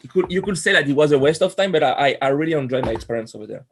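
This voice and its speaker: A man in his forties from Corsica with a French accent, a guest telling his story in a podcast interview, pausing often with fillers and restarts. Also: fairly high pitch, expressive, slight background noise. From Corsica, France. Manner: hesitant, many fillers and restarts. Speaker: guest, animator.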